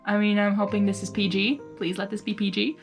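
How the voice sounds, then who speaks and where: A woman's voice: mid-range voice, little pitch movement, a little fast. Female fan-channel host, mid-range voice, informal and gushing, over faint music. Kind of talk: casual reaction chat between readings.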